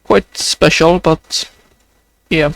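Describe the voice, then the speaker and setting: Slow, gravelly Swedish-accented young man. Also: a little high, fairly even intonation. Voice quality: sounds sad or close to crying. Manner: hesitant, many fillers, slow and halting. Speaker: video journal creator. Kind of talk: unscripted video diary.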